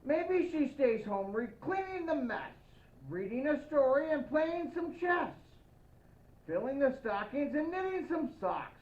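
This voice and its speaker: Female storybook reader in a Santa persona, high voice, playful and expressive, slightly dull lo-fi sound. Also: a little slow. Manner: playful, expressive, addressing young listeners. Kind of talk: children's picture-book read-aloud with playful asides.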